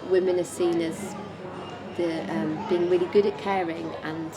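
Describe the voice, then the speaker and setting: A woman artist explaining her work informally in a measured, hesitant manner. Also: lowish voice, flat delivery, crowd noise, rough recording. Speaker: artist presenting own work. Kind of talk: informal exhibition talk about own artwork. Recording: clear background noise and room ambience.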